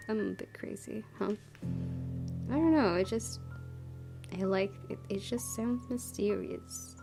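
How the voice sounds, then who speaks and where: A woman hosting a let's play, commenting casually in a chatty, giggly, rambling way. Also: mid-range voice. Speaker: gaming video host. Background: soft game music.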